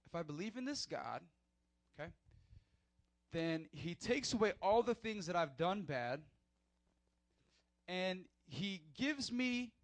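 A man preaching a sermon as pastor in a church, animated, acting out short skits in other voices. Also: high-pitched, dry recording.

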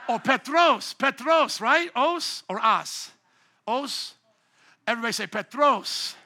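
A man pastoring a church congregation, speaking with a strikingly high pitch. His tone is sharp and bright, and he preaches with emphasis and humor, often getting the crowd to echo words back. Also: lively intonation, slow pace. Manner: emphatic, calls for congregation responses; playful, jokes at himself. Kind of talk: sermon. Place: church sanctuary.

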